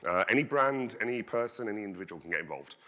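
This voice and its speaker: A man in his thirties giving an energetic conference talk, projecting his voice as he walks the stage on a headset mic. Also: fairly low pitch, fast. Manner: loud, projecting voice. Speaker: conference speaker. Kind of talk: conference presentation. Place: large conference hall stage. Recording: long hall reverb.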